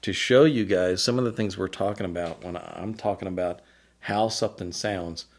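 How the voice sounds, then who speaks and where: Male product designer, fairly deep voice, informal explanatory presenter, enthusiastic and colloquial. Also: moderate pace.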